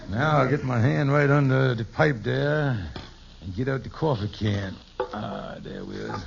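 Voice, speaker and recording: An actor playing a scheming, hen-pecked husband in an old radio sitcom, with a wheedling, stammering, theatrical delivery. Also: male voice, mid-range voice, measured pace, creaky. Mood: conspiratorial. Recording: noisy, dull old radio recording.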